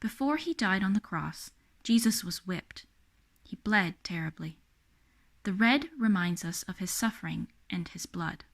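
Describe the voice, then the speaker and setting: A woman voicing a kindly, earnest man who keeps a candy store, explaining gently and solemnly in a children's storybook. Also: mid-range voice, animated, unhurried.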